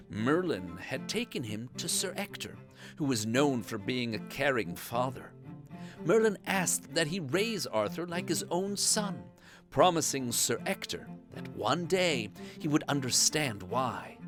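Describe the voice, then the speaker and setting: An expressive narrator tells a children's bedtime story with animated, wide-ranging intonation. The delivery takes its time, with music playing softly behind the voice. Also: medium pitch, unhurried.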